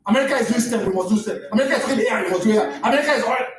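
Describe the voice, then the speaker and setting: Fast, high-voiced Nigerian man, Yoruba native, giving a fervent lecture from far off the mic in an echoey hall. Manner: impassioned, rising to near shouts, stammering restarts. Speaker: guest lecturer. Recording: echoey room, distant mic, faint noise.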